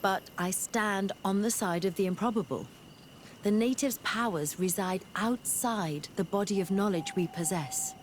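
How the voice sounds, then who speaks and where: An actress playing a scholarly, detached physician in a video game, formal and exact in speech. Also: female voice, medium pitch, measured pace, slight background noise, natural voice. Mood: clinical, assured. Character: clinical, scholarly female physician, detached and precise. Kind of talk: video game cutscene acting.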